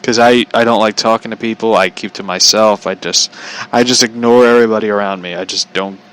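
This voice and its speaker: An American man with a fairly low but bright, forward voice tells a story off the cuff. He rambles casually and talks bluntly, in loose slang peppered with swearing. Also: steady tone, fairly quick, clear, resonant tone, low-quality recording. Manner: chuckles often while talking. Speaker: show host and storyteller.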